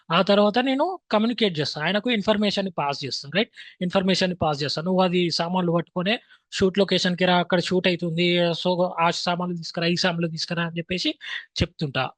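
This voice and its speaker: A man's voice: sits high, a little fast, a bit bright, no echo. A man with a Telangana Telugu accent giving an informal explanatory lesson as an instructor, repeating key points and mixing in English phrases. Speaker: filmmaking instructor.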